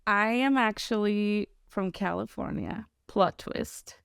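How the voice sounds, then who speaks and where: Mexican-accented woman, smooth with low fry. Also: no room sound, studio quality. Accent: Mexican Spanish. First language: Spanish. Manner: animated, laughs often, quick asides. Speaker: podcast guest. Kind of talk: casual interview storytelling.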